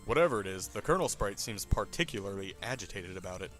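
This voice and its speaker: A male narrator reads scripted comic narration with theatrical mock seriousness. His voice sits a little low, over faint background music. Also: animated, quick pace, a bit bright.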